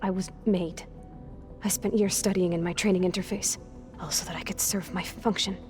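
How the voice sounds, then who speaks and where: Game role: fragile, precise, anxious young female clone, played by a woman, halting delivery, faint music behind. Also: on the low side, steady tone, a little mellow, close to an everyday voice. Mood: earnest, uneasy. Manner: halting, clinical, precise wording. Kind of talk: dramatic video game acting.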